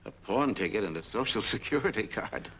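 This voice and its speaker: An American actor playing a formal, sceptical bank official in a 1940s film noir, probing with official authority. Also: male voice, lowish voice, expressive, brisk, a bit bright. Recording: dull, noisy 1940s film soundtrack.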